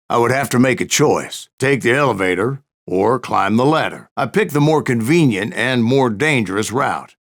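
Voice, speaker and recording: A man's voice: on the low side, gravelly, fairly bright tone. An actor playing an old American bounty hunter with a Western drawl, narrating his exploits in a western video game with wry, boastful folksiness. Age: older. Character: grizzled old bounty hunter gunslinger telling tall tales of the Wild West.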